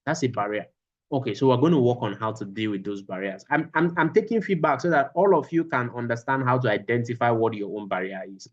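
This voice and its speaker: A man's voice: mid-range voice, fairly quick, lo-fi sound. A man teaching a class over a video call, repeating his phrases for emphasis. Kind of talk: online class lecture.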